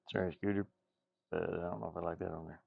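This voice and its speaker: A man's voice: deep voice, vocal fry, dark tone, slightly rough, no room sound, lo-fi sound. A man giving casual, rambling commentary while he works on game art, muttering playfully to himself and repeating words. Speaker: indie game developer streaming.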